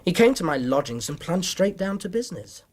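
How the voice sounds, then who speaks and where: A young English doctor in period radio drama, modest and courteous. The voice sits a little high and is slightly husky. He speaks precisely but hesitantly, with lively rises and falls. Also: brisk. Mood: earnest, confiding. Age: young adult. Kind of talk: period radio drama acting, narrating his story.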